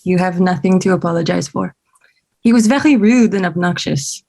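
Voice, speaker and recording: A woman's voice: fairly low pitch, normal speed, gravelly, darkish tone. Woman playing a friendly, curious French woman. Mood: reassuring. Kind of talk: screenplay table read.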